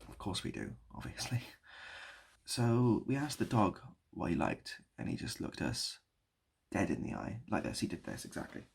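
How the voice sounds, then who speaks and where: Casting: British man, low-ish creaky voice, rambling improvised storyteller and online host, repetitive delivery. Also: huge pitch swings, normal speed. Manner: mock-nostalgic, repeats phrases and asks the listener to remember. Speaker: online video creator and host.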